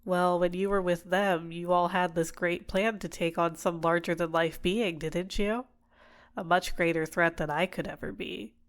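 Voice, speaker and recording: Possessive female villain for ASMR roleplay, played by a woman: lowish voice, soft, coaxing, manipulative, tenderly doting. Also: fairly even intonation, normal speed, close to an everyday voice. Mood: coolly manipulative. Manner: soft-spoken, teasing, drops into whispers. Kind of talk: ASMR roleplay, intimate personal attention.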